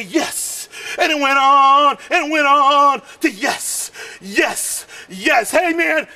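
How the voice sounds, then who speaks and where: A man doing a rambling stand-up comedy act, shouting his punchlines and losing his voice. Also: extremely high pitch. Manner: rambling, shouts punchlines, keeps saying "man" and "you know".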